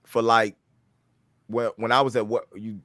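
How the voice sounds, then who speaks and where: A man's voice: mid-range voice, level tone, unhurried, fairly bright tone, no room sound. A man in his thirties chatting casually on a podcast, slangy and profane, often repeating himself. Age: 30s. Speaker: podcast panelist. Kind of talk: casual panel discussion.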